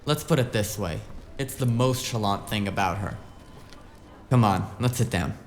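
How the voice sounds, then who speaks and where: A man's voice: fairly low pitch, moderate pace, slight background noise, lightly acted. A man playing a wisecracking, streetwise hacker sidekick in a cyberpunk audio drama, talking in a casual, slangy, flippant way. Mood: breezy.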